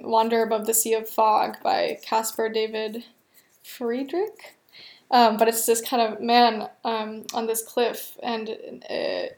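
A woman's voice: a little high, vocal fry, echoey room. A woman interviewer asking long, thoughtful, hesitant questions.

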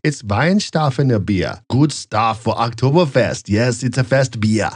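A man's voice: mid-range voice, lively intonation, measured pace, dry recording, studio quality, voice pushed up. A man playing a jolly, goofy German at Oktoberfest with an emphatic put-on German accent.

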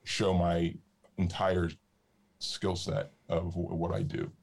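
Man, deep resonant voice, podcast guest, casual rambling chat. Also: takes it slowly, creaky. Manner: relaxed, rambling with fillers. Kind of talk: casual conversation.

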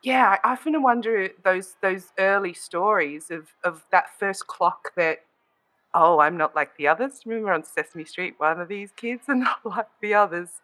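Woman host, easygoing and expressive, mid-range voice, chatty. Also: medium pitch, normal speed, rough recording. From Australia. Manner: expressive, laughs often, asks questions. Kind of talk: casual podcast chat.

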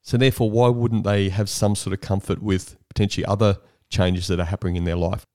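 Deep-voiced guy hosting an interview, dry sound, asks questions and throws in his own examples. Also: level tone, brisk, mellow, no echo. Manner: thoughtful, leading questions with shared analogies. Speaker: interview host.

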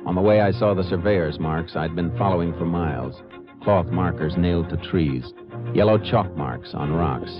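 Male actor as dogged American Texas Ranger: low, measured, probing; 1950s western radio sound, dulled with age. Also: lightly acted. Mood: steady narration. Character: calm, dogged Texas Ranger investigating a murder. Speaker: lead actor and narrator. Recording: muffled old radio broadcast, music.

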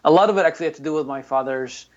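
A man in his thirties, originally from Ukraine, recounting his background to a podcast host in a relaxed way with fillers. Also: fairly high pitch, rapid-fire, dull audio. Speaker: guest. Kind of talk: casual interview.

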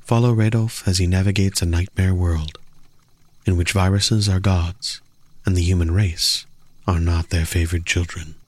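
A man reading a scripted promo in a slow, ominous, portentous manner. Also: sits low, steady tone, darkish tone. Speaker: promo announcer.

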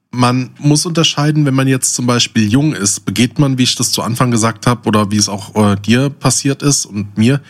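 A man chatting casually as a podcast co-host, reflecting and thinking aloud in a discursive way. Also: on the low side.

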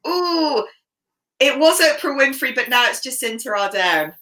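A woman's voice: high-pitched, big pitch swings, a little slow, bright, forceful tone. A woman from Canada, a podcast guest talking openly and reflectively, laughing easily. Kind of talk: casual interview talk.

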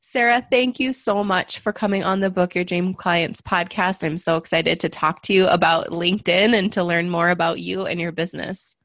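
An eager woman hosting a podcast, her voice sitting a bit low. She chats casually and pads her sentences with frequent fillers. The recording is dry and close, with no room sound. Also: moderate pace, few pauses, fairly bright tone, no echo. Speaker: podcast host.

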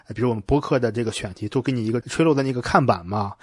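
A man's voice: mid-range voice, very fast, husky, no echo. A man hosting a casual podcast conversation, explaining his reasoning step by step and often checking for agreement. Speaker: podcast host.

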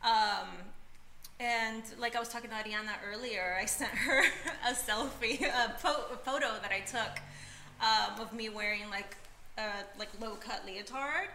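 A woman's voice: on the high side, unhurried, pushed and bright, roomy sound, slight background noise. A young woman from Peru, a podcast guest, chatting casually with many fillers and frequent laughter.

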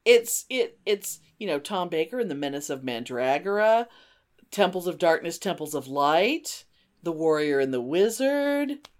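A woman's voice: fairly low pitch, very animated, measured pace. A middle-aged woman co-hosting a podcast in casual, effusive, sweary chat with a friend. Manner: effusive, sweary, gasps and exclaims. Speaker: podcast co-host.

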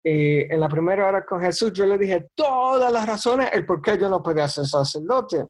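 A priest in his thirties tells his story in an interview, acting out the voices of the people he quotes and slipping in jokes. His voice sits high and has a husky edge. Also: lively intonation, normal speed. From Bronx, New York. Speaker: diocesan priest. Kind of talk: interview, personal faith story.

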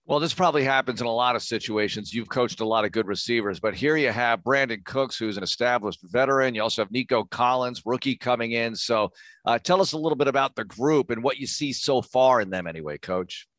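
A man's voice: mid-range voice, a little fast, fairly bright tone, dry recording. A man interviewing a football coach, asking conversational questions and addressing him as "Coach.".

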